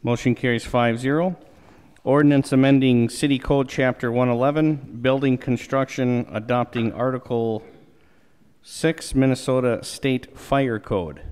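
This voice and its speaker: A man chairing a city council meeting, calling motions and votes in a brisk, procedural way. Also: mid-range voice, fairly even intonation, a little mellow, clear, resonant tone. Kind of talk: running a meeting, reading agenda items. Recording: council chamber with long reverb.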